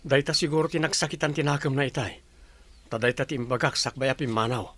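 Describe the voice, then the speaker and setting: Guy playing a stubborn young businessman in a radio drama, blunt, sharp voice, dry close sound. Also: medium pitch, quick pace, no room sound, lightly acted. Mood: defensive.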